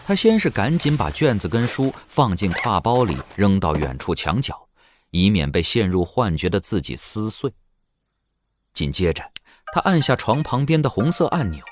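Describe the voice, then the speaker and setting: A man's voice: on the low side, big pitch swings, normal speed, a little hiss or hum. A man narrating an audio drama in a dramatic, scripted storytelling style. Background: background music.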